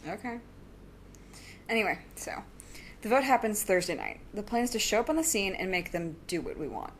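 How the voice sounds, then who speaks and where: A driven young woman of a supervillain, played by an actress in an audio drama. She argues earnestly and insistently in a medium-pitched voice, stubborn and guarded. The recording carries some faint noise. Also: big pitch swings, moderate pace. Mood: determined.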